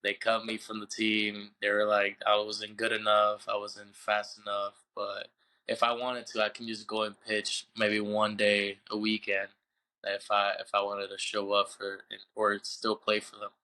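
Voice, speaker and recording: Puerto Rico-born man, halting and low. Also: monotone, sharp tone, smooth, rough recording. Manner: halting, repeats words while searching for English phrasing. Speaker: podcast guest, baseball player. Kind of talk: casual interview.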